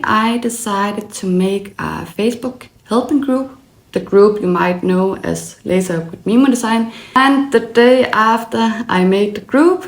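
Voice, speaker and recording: Danish woman, business owner, slow measured delivery with a gravelly edge, echoey room. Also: mid-range voice. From Denmark. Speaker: small business owner and laser file designer. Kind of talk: personal story narration.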